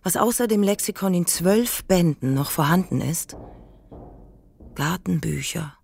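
A woman performing as the sober narrator of a literary radio play, speaking in a measured, precise way. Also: fairly low pitch, lively intonation, creaky, a little mellow, husky, exaggerated voice. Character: sober female narrator observing an ageing widower.

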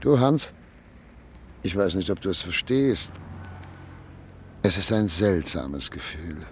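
An actor playing a 39-year-old professor and chief physician in a period radio drama, speaking in a wistful, reflective, quietly anxious way. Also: male voice, deep voice, lively intonation, slow pace, a little hiss or hum. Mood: wistful reflection. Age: 30s. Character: 39-year-old doctor, proud yet uneasy.

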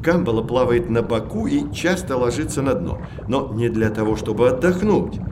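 A man's voice: medium pitch, animated, normal speed, lightly acted. Man acting as learned professor, ambient noise behind. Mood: explanatory. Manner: explanatory, articulate, lecturing. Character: learned male professor guiding children on sea voyage. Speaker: professor character in educational audio drama. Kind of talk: scripted educational audio drama.

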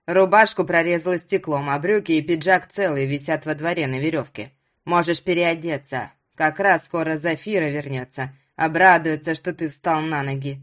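A stubborn old fisherman grandfather, played by a woman narrator, with a very low pitch. He talks in curt grumbles, with quiet menace, as in an audiobook drama. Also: normal speed, hardly any pauses, natural voice. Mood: matter-of-fact.

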